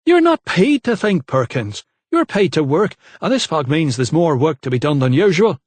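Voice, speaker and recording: A man's voice: on the high side, expressive, moderate pace, husky, no room sound, pitched above the performer's own voice. A man voicing a haughty, scornful estate steward who sneers and barks. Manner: sneering, barking.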